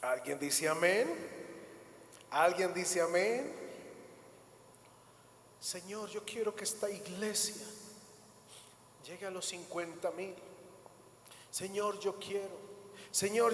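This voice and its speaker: A man's voice: high voice, slight background noise. A male pastor delivering an emphatic sermon, repeating words and building to rising bursts. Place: church hall. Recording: echoey church hall, faint ambience.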